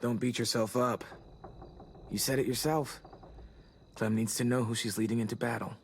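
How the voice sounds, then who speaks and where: A man playing a regretful, self-blaming teenage survivor in a video game drama, speaking naturally with a husky, gravelly voice. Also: mid-range voice, fairly even intonation, normal speed, slight background noise, natural voice. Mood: gentle, reassuring.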